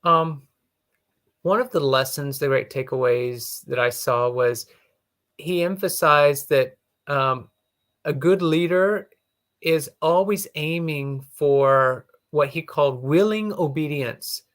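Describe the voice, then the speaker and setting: A man explaining leadership lessons in a casual, thoughtful way, often pausing with "you know". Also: a little high, fairly bright tone.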